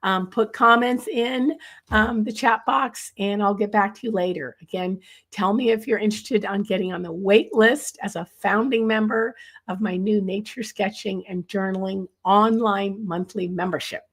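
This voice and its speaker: A middle-aged woman leads a live online nature sketching lesson from a bookshelf-lined room at home. Her voice is hoarse from a chest cold. She rambles, filling her sentences with ums and uhs. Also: mid-range voice, fairly even intonation, moderate pace, fairly bright tone.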